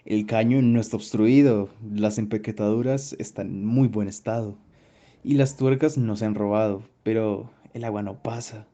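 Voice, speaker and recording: An actor playing an earnest, long-winded plumber who explains things in a wordy, pedantic way in a radio drama. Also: male voice, on the low side, mellow, natural voice. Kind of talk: radio drama narrative monologue.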